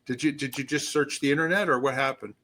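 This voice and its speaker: A man's voice: mid-range voice, steady tone, fast talker, sharp tone. A man hosting an interview channel, talking in an easygoing way with loose restarts. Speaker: podcast host. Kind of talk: casual interview.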